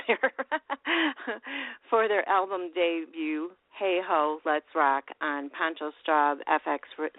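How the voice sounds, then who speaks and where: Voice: mid-range voice, no echo. A radio music show host reading artist introductions between songs, stumbling over words and correcting themself. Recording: tinny phone-like line, dry.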